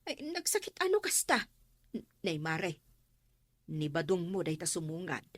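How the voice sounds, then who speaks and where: A woman's voice: lowish voice, big pitch swings, measured pace, no echo. A woman acting a scolding, sarcastic village captain's wife in a radio comedy drama, with an Ilocano accent. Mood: gossipy.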